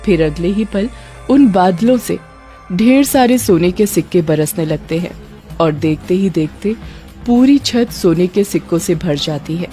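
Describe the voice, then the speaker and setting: A woman's voice: lowish voice, lively intonation, a little slow, warm tone, faint music behind. A woman narrating a scripted story as a storyteller.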